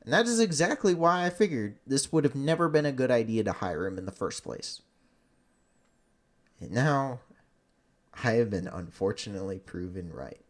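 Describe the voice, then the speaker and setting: Exasperated sports fan with wildly swinging pitch. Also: animated, normal speed. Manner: exasperated, emphatic, profane. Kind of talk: unscripted opinionated sports rant.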